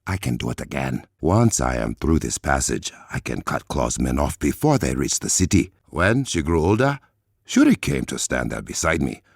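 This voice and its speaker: A man playing a noble, resolute warrior king in a video game, with an African accent, a deep gravelly voice and formal, measured diction. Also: big pitch swings, no echo, clean studio sound, full character voice. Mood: reflective.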